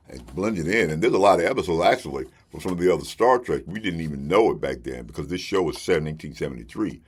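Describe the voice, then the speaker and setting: A man's voice: extremely low pitch, husky. A man hosting a casual, unscripted review to camera, talking in a conversational way and repeating phrases for emphasis. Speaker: host reviewing shows and collectible box sets.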